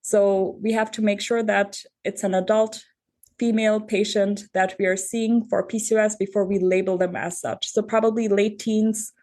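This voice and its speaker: Measured woman physician from Bangladesh. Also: mid-range voice, little pitch movement, darkish tone. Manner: measured, methodical explaining. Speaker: endocrinologist guest. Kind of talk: explanatory interview answers.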